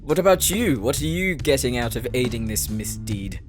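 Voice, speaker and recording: An actor playing an uptight, pious paladin forge master in a fantasy audio drama, prim, exasperated and self-important. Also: male voice, mid-range voice, lively intonation, moderate pace, soft background music, lightly acted. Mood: exasperated, disapproving. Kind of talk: scripted fantasy sci-fi audio roleplay.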